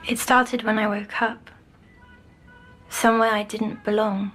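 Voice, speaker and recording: An actress playing a timid young girl troubled by nightmares in an audio drama, speaking hesitantly and softly. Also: mid-range voice, flat delivery, soft background music, natural voice. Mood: haunted, wondering. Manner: hesitant, halting, softly descriptive. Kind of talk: scripted audio drama acting.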